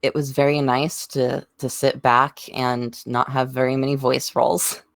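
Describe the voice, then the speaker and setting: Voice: medium pitch, flat delivery, measured pace, vocal fry, dry recording, studio quality. A podcast panelist talking casually in a group conversation. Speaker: podcast panelist and fandub voice actor. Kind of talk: casual chat.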